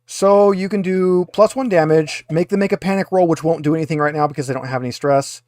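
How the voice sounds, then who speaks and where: A man with a slightly high-pitched voice runs a tabletop roleplaying game as game master. He talks casually through rules and options, and the recording is dry and close. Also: quick pace, darkish tone, no room sound.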